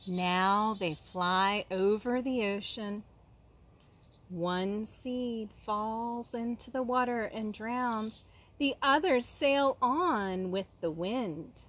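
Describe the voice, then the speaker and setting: A woman reading a children's picture book aloud like a kindergarten teacher, expressively, with dramatic pauses and questions for young listeners. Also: mid-range voice, fairly bright tone.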